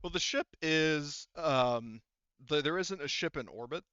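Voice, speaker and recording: A man narrating a tabletop game casually as game master, halting often as he thinks aloud. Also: on the high side, expressive, sharp tone, smooth, no room sound, dull audio.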